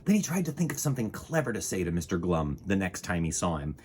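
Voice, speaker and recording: A man reading a children's picture book aloud in a theatrical, deliberate storytime style. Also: deep voice, very animated, a little mellow, a little hiss or hum. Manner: dramatic, deliberate, with sound effects and exclamations.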